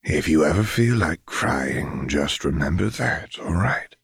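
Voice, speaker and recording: A man voicing a calm, calculating father figure with soft menace in an audiobook, speaking in a measured, deliberate way. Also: a bass voice, creaky, husky, no echo, studio quality, full character voice. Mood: soothing.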